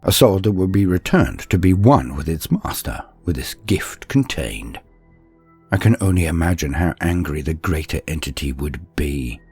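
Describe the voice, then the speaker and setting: A man narrating a scripted dramatic story in the first person, measured and pausing mid-sentence. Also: rumbling low, lively intonation, darkish tone, husky, soft background music.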